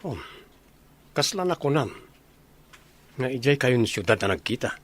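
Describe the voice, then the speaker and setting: A man's voice: medium pitch, big pitch swings, moderate pace. A man playing a curious, reflective soldier with an Ilocano accent in a radio drama.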